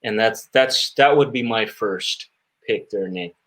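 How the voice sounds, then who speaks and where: A man answering interview questions as a guest magician, earnest and reflective, often pausing and repeating words as he searches for phrasing. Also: fairly low pitch, fairly bright tone.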